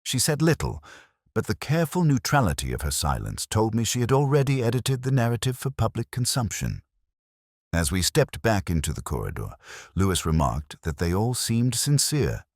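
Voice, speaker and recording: A man voicing a brooding, literate police inspector who recounts a case in measured, reflective and formal scripted narration. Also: fairly low pitch, sing-song, no room sound, studio quality. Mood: wry, watchful. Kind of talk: scripted first-person detective audio drama narration.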